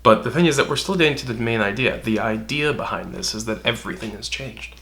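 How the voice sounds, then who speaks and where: A man's voice: medium pitch, quick pace, gravelly, bright, forceful tone. A man co-hosting a casual fan discussion, speaking emphatically and with some swearing. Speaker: co-host.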